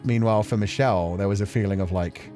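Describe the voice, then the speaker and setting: A man's voice: lowish voice, quick pace, dark tone. A man giving casual, reflective commentary as a streamer, talking in an earnest, emotional, rambling way. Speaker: streamer hosting a game playthrough. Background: music.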